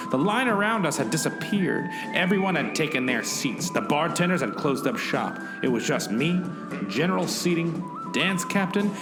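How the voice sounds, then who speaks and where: A man's voice: mid-range voice, close to an everyday voice. A man acting as the plucky narrator of his own mock-heroic mission in a radio play, with a theatrical, dramatic delivery. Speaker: narrator and lead actor. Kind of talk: first-person radio play narration with acted dialogue. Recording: music behind the voice. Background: music.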